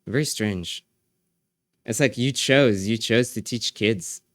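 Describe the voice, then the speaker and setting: A man streaming live, casually reading and answering chat messages, often trailing off quietly at the ends of his phrases. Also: lowish voice, takes it slowly, no room sound, studio quality.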